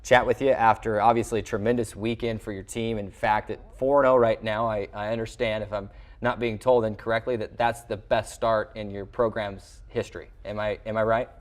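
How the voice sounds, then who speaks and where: A man's voice: lowish voice, level tone, fairly quick. A man hosting a sports interview show in a television studio, with a wordy broadcaster's way of presenting.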